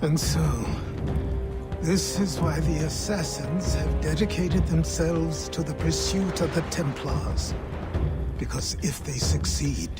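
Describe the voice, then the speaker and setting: An actor playing a gruff, world-weary old retired assassin who mentors with sardonic, measured words in a video game cutscene. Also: male voice, on the high side. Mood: storytelling. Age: older. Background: music.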